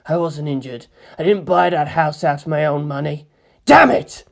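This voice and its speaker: A woman's voice: sits low, moderate pace, vocal fry. A woman voicing a hot-headed, self-blaming teenage schoolboy in a fan fiction reading. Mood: frustrated, angry.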